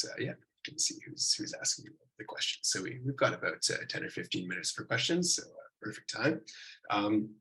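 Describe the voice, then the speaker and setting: A man's voice: fairly low pitch, gravelly, pushed and bright, poor audio. A man who has partly lost his voice hosts a question session on a video call, with a rambling way of talking and repeated words. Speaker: program host and moderator. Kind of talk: moderating a Q&A session. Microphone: computer mic on a video call.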